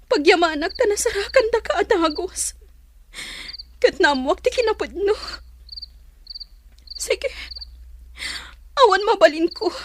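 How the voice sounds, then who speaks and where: A woman's voice: very high voice, animated, unhurried, pauses often, noisy background. A woman acting a hurt, tearful young woman in a radio drama. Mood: hurt, resigned. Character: heartbroken young woman Estela, wronged lover.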